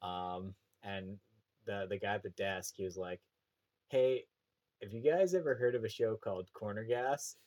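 Low-voiced Canadian man, rambling chat. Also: unhurried, darkish tone. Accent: Canadian prairie. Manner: rambling, many fillers and restarts. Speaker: teacher. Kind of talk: casual podcast chat.

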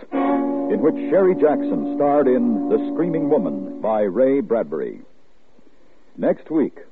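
A male 1950s radio announcer with a hugely animated delivery. He speaks over prominent music, and the recording is thin and narrow-band. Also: medium pitch, breathy edge, no room sound.

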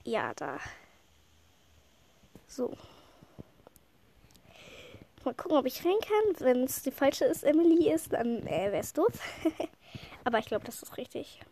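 A woman's voice: high voice, sing-song, slow pace, pauses often, warm tone. A woman with a head cold giving casual, rambling commentary while she plays a game, sniffling and chatting with lots of fillers. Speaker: gameplay commentator.

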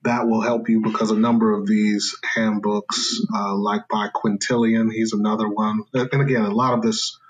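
Male scholar guest, low husky voice, careful lecturing delivery. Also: flat delivery, moderate pace, few pauses, rough recording. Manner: careful, lecturing, with fillers and restarts. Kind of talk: explaining in a podcast interview.

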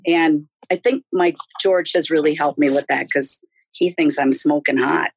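A woman's voice: deep voice, steady tone, normal speed, husky, dry recording. A woman in her sixties, a podcast guest chatting casually and telling stories, laughing often. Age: 60s. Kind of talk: casual interview chat.

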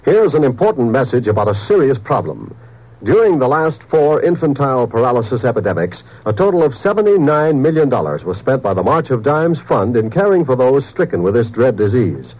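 A man's voice: mid-range voice, big pitch swings, brisk. A man reading a scripted 1950s radio charity appeal as an announcer, earnest, solemn and emphatic. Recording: dull old-time radio recording.

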